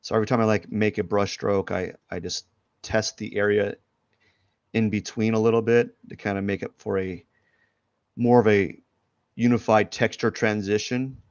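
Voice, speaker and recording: Guy with a cold, sniffly, low gravelly voice, rambles with lots of likes and ums, kinda muffled stream audio. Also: steady tone, moderate pace, darkish tone, no echo. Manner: loose and rambling, many fillers. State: has a head cold, sniffling. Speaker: artist streaming a drawing session. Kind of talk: casual live drawing commentary.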